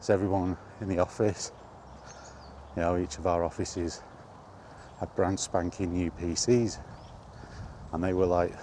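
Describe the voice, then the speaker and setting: A man's voice: low-pitched, fairly even intonation, unhurried, warm tone, slight background noise. A middle-aged man rambling casually in a personal gaming vlog, reminiscing in an informal way. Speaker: gaming vlogger. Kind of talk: casual rambling chat about retro gaming.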